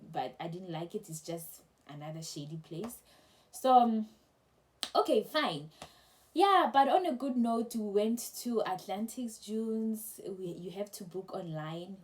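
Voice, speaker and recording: A young woman casually telling a travel story to camera, chatty and animated, with many restarts. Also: fairly low pitch. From South Africa. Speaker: travel vlogger.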